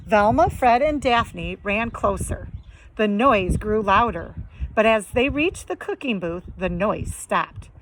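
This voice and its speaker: A woman, a teacher, narrating a children's story aloud in a deliberate, expressive way. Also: medium pitch, slight background noise, poor audio. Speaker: second grade teacher reading aloud.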